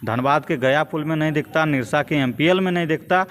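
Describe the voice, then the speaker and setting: A young man with a Jharkhand Hindi accent answering as a political activist in an interview, in a measured, hesitant way with repeated phrases. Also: fairly high pitch, a bit bright. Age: young adult.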